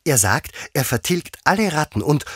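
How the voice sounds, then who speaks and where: A man's voice: medium pitch, big pitch swings, measured pace, husky, dry recording, clean studio sound, pitched above the performer's own voice. A man voicing an eager, polite young girl in a children's fairy tale, earnest and gushing. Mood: eager chatter.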